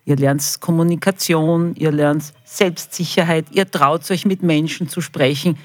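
A woman's voice: deep voice, steady tone, a little slow, no room sound. Enthusiastic Austrian woman, dry studio-like sound. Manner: enthusiastic, colloquial. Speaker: school quality manager, interview guest. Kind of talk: interview answers addressed to children.

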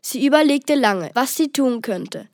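A child narrates a children's audio story from a script. The voice is high, and the recording is dry. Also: animated, measured pace, no room sound.

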